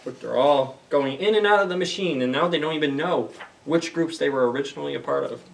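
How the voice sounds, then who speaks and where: A man with a moderately high voice leads a children's story time. He reads in an animated storytelling style and adds simple explanations and questions for young listeners. The microphone is a little distant. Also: fast, creaky. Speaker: library story time reader.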